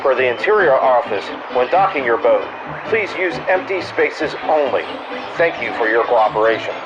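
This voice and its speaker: Man playing wisecracking radio skipper, lively pitch swings. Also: moderate pace, lightly acted. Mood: mock-official. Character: wisecracking male jungle cruise radio skipper host. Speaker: radio host. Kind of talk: old-time radio announcing, comedic news bulletins. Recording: muffled lo-fi radio sound over music.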